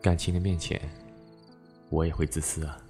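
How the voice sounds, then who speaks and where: Costume audio drama lead, male actor: aloof but devoted spirit man, low, restrained, dry delivery. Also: flat delivery, normal speed, warm tone, soft background music. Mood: cool, teasing.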